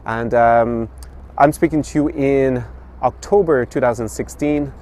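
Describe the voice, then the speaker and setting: A man's voice: mid-range voice, steady tone, a little slow, a little mellow. A man in his thirties vlogging to camera outdoors in a city, giving a casual spoken review with many fillers and restarts. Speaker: travel and language vlogger. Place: outdoors in a city street by a statue.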